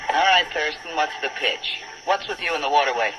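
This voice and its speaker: A woman's voice: deep voice, expressive, a little fast. A woman playing a tough, cynical riverboat skipper in a 1940s radio drama, blunt and slangy. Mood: shrewd, needling. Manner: hard-boiled, slangy, blunt. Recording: dull, noisy old 1940s radio recording.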